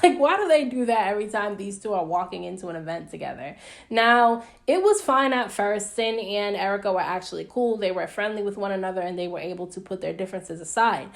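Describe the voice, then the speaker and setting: A woman's voice: medium pitch, creaky. A young American woman giving a casual, gossipy recap of reality TV, chatty and opinionated with slangy mild swearing. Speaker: YouTube reviewer recapping reality TV.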